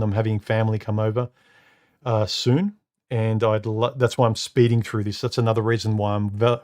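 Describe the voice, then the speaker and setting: A man with a deep, somewhat muffled voice hosting a live stream from home into a microphone, talking casually and haltingly, repeating words and filling pauses with uh and um. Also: darkish tone. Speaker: live stream host reading comments. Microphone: studio microphone.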